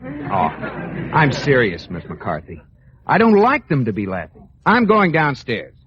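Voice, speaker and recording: An actor playing a fretful, jealous husband with hesitant, stammering complaints, in mid-century radio comedy style. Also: male voice, medium pitch, wildly swooping pitch, unhurried, noisy background. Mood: jealous, sulky. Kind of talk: 1940s-50s radio comedy acting. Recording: muffled old radio broadcast.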